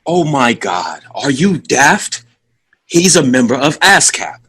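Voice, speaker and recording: An actor playing a bandleader's cocky young son in an audio drama, snide, condescending and theatrical. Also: male voice, medium pitch, big pitch swings, slow pace, a bit bright, husky, heavily acted. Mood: mocking.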